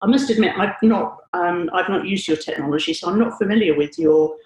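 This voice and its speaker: A woman's voice: on the low side, fairly quick, a little mellow. A woman hosting a podcast interview, friendly and a bit hesitant, with frequent ums. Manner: friendly, hesitant with many "um"s, polite British phrasing. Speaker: podcast host, senior analyst. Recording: roomy echo.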